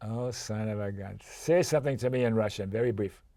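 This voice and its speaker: An older man hosting an interview, chatty and jokey, quick to laugh between questions. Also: on the low side, mellow, dry recording, studio quality. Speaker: host. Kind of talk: casual interview.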